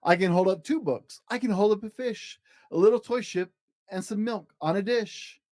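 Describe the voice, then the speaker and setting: A man's voice: high voice, moderate pace, darkish tone, dry recording, studio quality, pitched above the performer's own voice, close to an everyday voice. A man voicing a playful, boastful trickster cat in a children's storybook, showy and breezy in sing-song rhyme. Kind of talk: children's storybook read-aloud.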